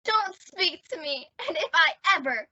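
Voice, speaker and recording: A woman's voice: extremely high pitch, expressive, a little slow, pushed and bright, no echo, heavily acted. A woman playing an earnest, dramatic, lovestruck teenage girl in an old-time radio comedy, with emphatic delivery. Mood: indignant. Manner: emphatic, pleading girlish delivery. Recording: dull, band-limited dry audio.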